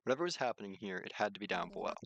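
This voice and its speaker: A low-voiced man streams a visual novel, recorded close and dry. He reads the narration aloud and slips into casual commentary, occasionally tripping over a written line and starting it again. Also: lively intonation, very fast, creaky, fairly bright tone, no echo, lo-fi sound. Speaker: video game streamer.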